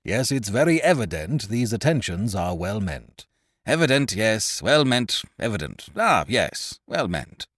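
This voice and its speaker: A chorus of timid policemen mutters and chants in low voices, done by a man. They sound hesitant and slow, with a dry sound. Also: sing-song, fairly bright tone, no room sound, exaggerated voice. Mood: reluctant.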